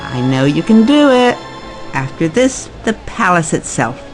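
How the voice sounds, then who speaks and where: A woman playing a brisk, pushy and cynical event boss in an audio drama, breezy and commanding. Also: fairly low pitch, sing-song, faint music behind, poor audio.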